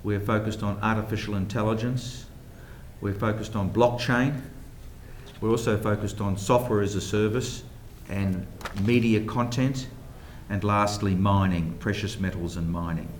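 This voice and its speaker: Deep-voiced New man, echoey room. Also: fairly even intonation, normal speed, a little hiss or hum. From New Zealand. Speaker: guest, private equity investor. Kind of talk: radio interview at a banquet. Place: banquet hall.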